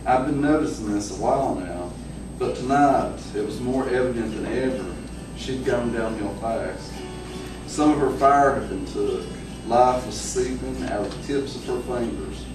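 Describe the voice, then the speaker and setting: A man reading a novel aloud as a young Cherokee woman, tender and observant, telling her story in measured, expressive phrases. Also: mid-range voice, over music, voice pushed up, natural voice. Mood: tender, solemn. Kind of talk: first-person literary reading. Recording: echoey, distant microphone, noisy room ambience.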